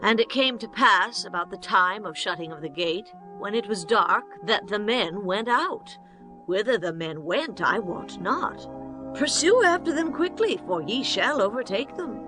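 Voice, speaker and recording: Actress as bright, forceful ancient Canaanite woman. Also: medium pitch, animated, measured pace, faint music behind, poor audio. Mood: urgent, evasive. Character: resolute ancient Canaanite woman sheltering fugitives. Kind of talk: dramatized scripture reading.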